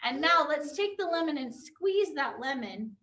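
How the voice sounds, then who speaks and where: A woman's voice: sits high, animated, moderate pace, pushed and bright. A young woman teaching an online drama class for kids, encouraging and upbeat as she guides a pretend game over a video call. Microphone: computer on a video call.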